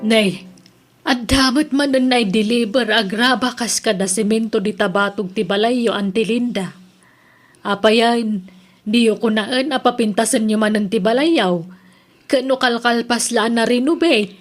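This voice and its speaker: A woman's voice: fairly high pitch, unhurried. An Ilocano-accented woman playing a chatty village mother in a radio drama.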